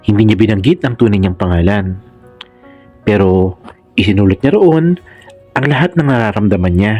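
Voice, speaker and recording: A Filipino man narrating a scripted romance story in a sentimental, expressive way over music. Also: on the low side, moderate pace. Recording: music under the voice. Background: background music.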